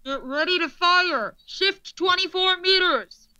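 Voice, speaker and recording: A very bright, forceful artillery officer, acted by a woman in a radio play. The voice is high and slow, with clipped, commanding orders called out urgently. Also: expressive, full character voice, poor audio.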